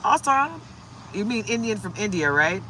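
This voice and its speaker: A hoarse woman from the United States doing a casual, upbeat tarot livestream and greeting viewers by name. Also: mid-range voice, very animated, normal speed, pushed and bright, a little hiss or hum, rough recording. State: hoarse from shouting. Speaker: tarot reader.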